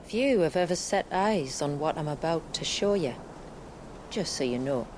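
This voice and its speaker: A woman's voice: low-pitched, expressive, moderate pace, darkish tone, slight background noise. A woman playing a proud, assured leader of a hidden fantasy village, composed and formal, in a video game cutscene.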